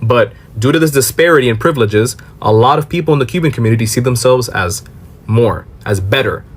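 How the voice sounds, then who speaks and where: A man's voice: medium pitch, brisk, breathy edge. A man from Miami with an American accent giving emphatic explanatory commentary, asking questions and answering them himself. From Miami, Florida. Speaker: commentator on a social media reaction video. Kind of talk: explanatory political commentary to camera.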